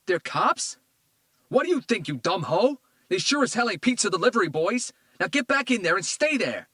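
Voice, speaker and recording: Shrieking furious ex-con, crude, loud, high and strained, dry sound. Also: male voice, very animated, normal speed, no echo, exaggerated voice. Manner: shrieking, profane. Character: volatile adult male ex-con, furious and crude.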